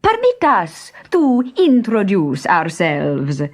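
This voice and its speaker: A woman voicing a boastful bandit man in a theatrical, drawn-out storybook style. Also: mid-range voice, wildly swooping pitch, exaggerated voice. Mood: boastful, courtly. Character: boastful Italian bandit man, pompous, theatrical, mock-fierce.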